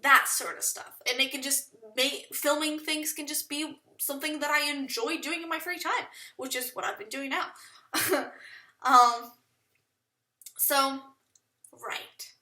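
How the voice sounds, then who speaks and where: Rambling high-voiced young woman, echoey room. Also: fairly even intonation, slow pace, bright, forceful tone, distant mic. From Portugal. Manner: rambling, self-conscious, laughs often. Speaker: vlogger. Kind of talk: rambling casual monologue.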